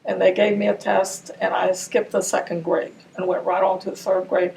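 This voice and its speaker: A woman's voice: medium pitch, flat delivery, normal speed, gravelly, a little mellow. An older woman from Ramallah whose first language is Arabic, recalling her childhood in an oral history interview. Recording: distant mic with faint noise.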